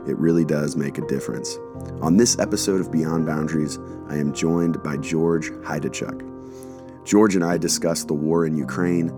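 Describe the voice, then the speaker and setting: A man who is a pastor, reading an upbeat scripted podcast introduction smoothly. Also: rumbling low. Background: guitar music.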